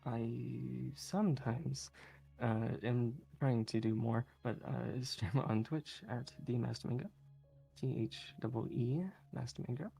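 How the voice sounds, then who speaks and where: A woman with a very deep voice talks through a speedrun live. Her delivery is casual and a little halting, with small chuckles, and music plays clearly behind her. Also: dark tone.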